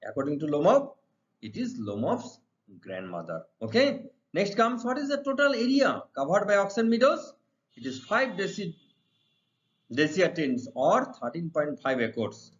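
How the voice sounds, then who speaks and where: Indian-accented male teacher, swooping lively pitch. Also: moderate pace. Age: middle-aged. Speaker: English teacher. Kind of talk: exam lesson, reading questions and explaining answers. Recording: dull lo-fi recording.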